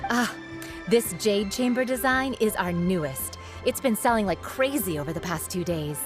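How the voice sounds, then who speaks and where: Friendly stall vendor, voiced by a woman; bright sales pitch, music clearly behind. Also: lively intonation, moderate pace, close to an everyday voice. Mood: welcoming. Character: friendly young female kite stall vendor. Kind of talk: game voice acting.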